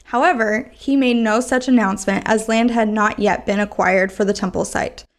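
A woman's voice: mid-range voice, steady tone, normal speed. A woman hosting a podcast, reading a polished script. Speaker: podcast host.

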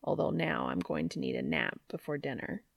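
Woman as a demanding man, audiobook romance, deep-pitched, quiet, clipped phrases. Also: big pitch swings, moderate pace, creaky, breathy edge, dry recording, full character voice. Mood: satisfied. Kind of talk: audiobook character voice.

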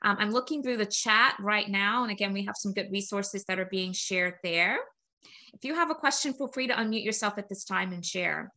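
A woman's bright, clear voice at middle pitch runs the session. She moderates, opens the floor, and prompts her guests politely and at an even pace. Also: fairly quick. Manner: polite, inviting, steady prompts. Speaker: host and moderator. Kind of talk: moderating a webinar Q&A.

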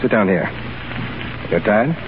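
An actor playing a cold, calculating Russian secret police official in a 1930s radio drama. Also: male voice, fairly low pitch, sing-song, unhurried. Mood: cold, controlled. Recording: noisy, narrow-band 1930s radio broadcast.

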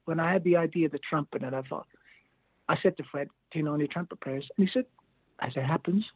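A male musician chatting as a guest in a relaxed, rambling way, with frequent chuckles. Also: fairly high pitch, quick pace, husky, no room sound. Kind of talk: casual interview chat. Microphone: phone line. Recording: tinny dry phone line.

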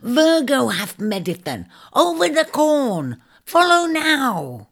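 Storybook shrike: crafty, hissing, urgent, high-pitched and swooping. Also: female voice, sing-song, slow, a bit bright, full character voice. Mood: urgent, impatient. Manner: urgent, pressing, old-fashioned speech. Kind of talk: audiobook storytelling character voice.

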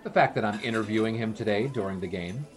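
An actor playing a wry, self-assured 1920s sportswriter in an audio drama, with a dry, measured manner. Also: male voice, lowish voice, lightly acted. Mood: quietly proud. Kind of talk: period audio drama acting.

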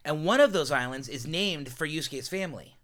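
Slightly high-voiced man, chatty host. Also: lively intonation, brisk, a bit bright. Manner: explains history, interjects eagerly. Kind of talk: casual studio chat.

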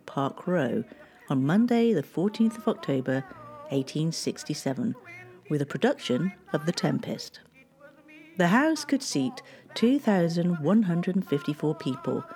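A woman reads a local history script, taking dates and figures at a measured pace. Her voice sits low and sounds mellow. Faint music plays underneath.

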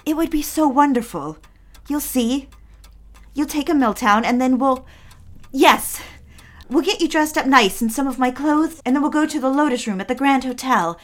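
A woman's voice: sits high, normal speed, lightly acted. A woman playing an eager, lonely society housewife in a period audio drama, genteel and coaxing in manner. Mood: gushing, eager.

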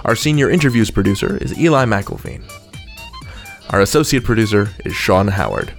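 A man's voice: lowish voice, animated, moderate pace, gravelly, soft background music. A man hosting a podcast from a script, playful, wry and a little theatrical. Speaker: podcast host.

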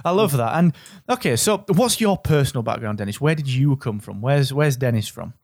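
A man's voice: mid-range voice, lively intonation, a little fast, darkish tone, no room sound, clean studio sound. A man hosting a podcast, encouraging his guest in an upbeat way. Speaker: podcast host. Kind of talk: podcast interview.

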